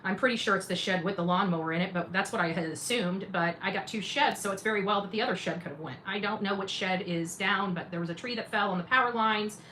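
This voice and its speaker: Emphatic woman commentator with clear background noise. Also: medium pitch, quick pace, few pauses, bright, forceful tone, poor audio. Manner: emphatic, repeats phrases, sarcastic asides. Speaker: independent journalist and livestream host. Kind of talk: casual livestream commentary to viewers.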